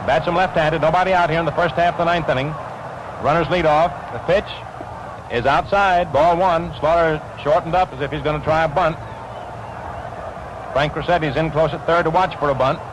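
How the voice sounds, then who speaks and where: An American man calling baseball play-by-play in 1940s radio style, describing the action in crisp phrases. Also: on the high side, steady tone, fairly quick. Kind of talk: 1940s radio sports commentary. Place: ballpark broadcast position. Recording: noisy vintage 1940s radio broadcast.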